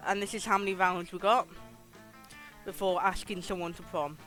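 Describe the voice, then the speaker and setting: A streamer giving live game commentary and reading game text aloud in an animated, giggly way, with casual swearing. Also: mid-range voice, moderate pace. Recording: music behind the voice. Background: game music.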